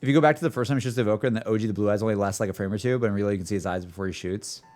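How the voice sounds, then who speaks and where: A man's voice: fairly low pitch, rapid-fire, darkish tone. A man giving casual, slangy reaction commentary as a streamer, hedging his opinions as he goes. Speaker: streamer reacting to game cutscenes. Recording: music playing behind.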